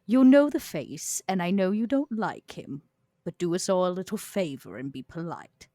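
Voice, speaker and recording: A woman's voice: on the low side, expressive, moderate pace, dark tone, no room sound, clean studio sound, natural voice. A woman playing an elegant, commanding vampire aristocrat in a tabletop game, with a polished, politely imperious manner. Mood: knowing. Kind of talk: in-character tabletop roleplay.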